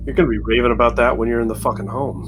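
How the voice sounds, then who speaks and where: A man's voice: mid-range voice, fairly even intonation, very fast, poor audio. A man talking casually as a player during a tabletop roleplaying session. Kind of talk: casual chat at the game table. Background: background music.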